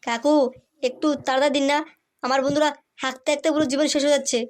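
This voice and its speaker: A woman's voice: sits high, flat delivery, racing, natural voice. A woman voicing an eager, urgent young boy in a comic cartoon. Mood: anxious, eager. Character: eager young boy fetching help for friends.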